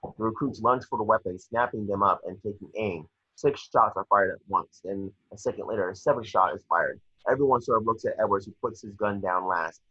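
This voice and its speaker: A man reading screenplay action lines aloud in a somewhat halting way, as narrator of an amateur table read. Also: fairly low pitch, pushed and bright, slightly rough, dull audio. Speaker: reader of action descriptions.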